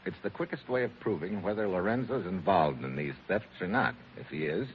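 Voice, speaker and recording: An actor playing a dry, tough, unflappable American special agent in a 1940s radio drama. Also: male voice, low voice, animated, a little fast. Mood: determined. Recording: muffled, noisy old 1949 radio recording.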